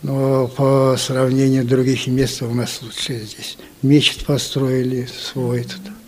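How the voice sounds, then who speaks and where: A man's voice: medium pitch, completely flat delivery, takes it slowly, darkish tone. An older man answering interview questions in short, plain, simple phrases. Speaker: villager interviewee. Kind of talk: casual interview. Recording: faint background noise.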